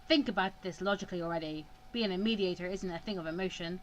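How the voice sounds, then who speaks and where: A woman voicing a cold, self-assured male mediator in measured, formal visual novel dialogue. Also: fairly low pitch, slight background noise, lightly acted, low-quality recording. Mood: dismissive.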